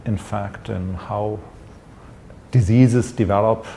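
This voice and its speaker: Gravelly German-accented older man. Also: on the low side, fairly even intonation, slow, mellow, a little hiss or hum. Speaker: interviewee, scientist. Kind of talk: reflective interview answers.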